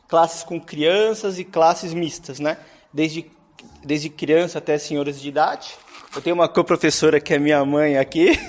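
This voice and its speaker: A man's voice: fairly high pitch, darkish tone. Chatty man introducing himself. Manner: chatty, tags sentences with "né". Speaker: English teacher. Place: meeting room with a group.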